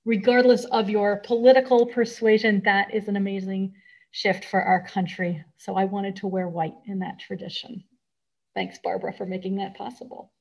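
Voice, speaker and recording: A woman with an American accent giving a sermon to a congregation in measured, deliberate phrases with pauses. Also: mid-range voice, steady tone, a little mellow, dull audio.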